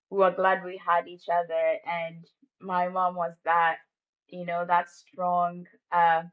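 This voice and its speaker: A woman's voice: on the low side, narrow, tinny audio. Ugandan woman sharing her faith story. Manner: warm, faith-filled storytelling. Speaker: ministry staff guest. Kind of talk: personal testimony in an interview.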